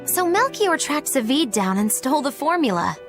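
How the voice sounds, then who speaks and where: A teasing, theatrical witch with a high voice that swoops all over. Her lines come out sly and sing-song, with game music clearly behind her. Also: big pitch swings, normal speed. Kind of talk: game dialogue dub. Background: game score.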